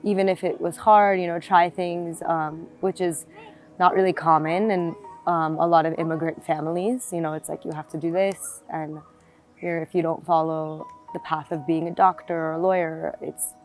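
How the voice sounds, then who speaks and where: Young woman from Florida, lowish voice, reflective storytelling over background music. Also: little pitch movement, moderate pace. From South Florida, United States. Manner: reflective, with frequent fillers and restarts. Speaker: interviewee telling her life story. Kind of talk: personal storytelling interview. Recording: music playing behind the voice.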